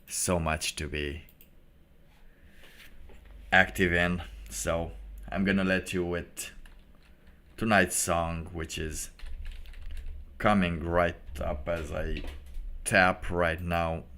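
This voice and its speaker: Slow, low-voiced man rambling on stream. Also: level tone, sharp tone. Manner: halting, rambling, preacher-like asides. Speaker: livestream host. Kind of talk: casual livestream monologue.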